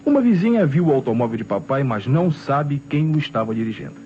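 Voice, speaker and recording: An actor playing a self-assured young student with a Brazilian accent, arguing articulately in an old radio detective drama. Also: male voice, mid-range voice, lively intonation, fast talker, mellow, lightly acted. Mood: confident, protesting. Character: self-assured young male student defending his father. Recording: dull old radio recording, faint noise.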